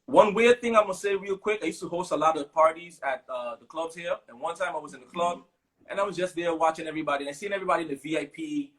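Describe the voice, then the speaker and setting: A man in his thirties from Sint Maarten with a Caribbean English accent, a guest telling stories in a relaxed interview, with plenty of fillers. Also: on the high side, fast, low-quality recording. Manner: relaxed storytelling with many fillers. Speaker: guest musician. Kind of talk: casual interview chat.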